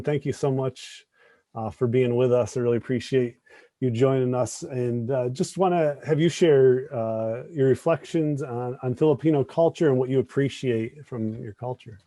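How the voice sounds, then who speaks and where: A man's voice: mid-range voice, normal speed, dry recording. A man, a pastor, moderating an online church panel and thanking guests as he introduces each one. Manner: warm thanks, gentle prompting questions.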